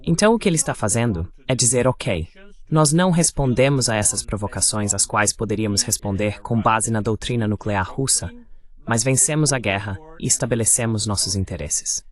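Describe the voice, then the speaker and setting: A man's voice: on the low side, a little fast. A man delivering political commentary as the translated voice of an interview guest. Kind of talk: Portuguese voice-over of political commentary.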